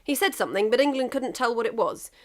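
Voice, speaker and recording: Woman, expressive story reader, fairly high voice, very lively intonation, emphatic comic narration. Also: fast talker. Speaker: fan fiction reader.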